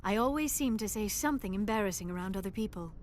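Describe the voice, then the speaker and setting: A woman's voice: mid-range voice, animated, fast, darkish tone, a little hiss or hum, natural voice. An actress voicing a young alien woman scientist in a video game, shy and earnest, with formal, precise diction. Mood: flustered. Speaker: archaeologist crew member.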